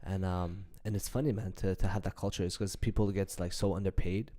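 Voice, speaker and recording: A low-pitched man hosts a casual conversation. He talks in a relaxed way and often pauses on "uh" while he gathers his thoughts. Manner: relaxed, many "uh" fillers. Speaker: podcast host. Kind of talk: casual chat.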